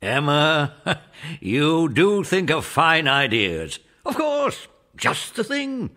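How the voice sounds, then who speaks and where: Man playing a jolly, very animated old British general. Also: fairly high pitch, drawn-out words, full character voice. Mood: delighted. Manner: hearty, chuckling exclamations.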